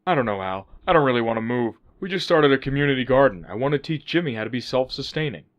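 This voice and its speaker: Nervous working dad for radio comedy, male actor: downcast yet animated delivery, lo-fi sound. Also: medium pitch, fast, close to an everyday voice. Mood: glum, worried. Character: Rich Smith, glum, nervous suburban family man and worker. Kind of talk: radio sitcom acting.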